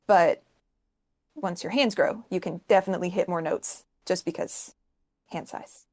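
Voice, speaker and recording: A woman's voice: fairly low pitch, normal speed, darkish tone. A woman talking as a podcast panelist, explaining music informally in a precise, step-by-step way.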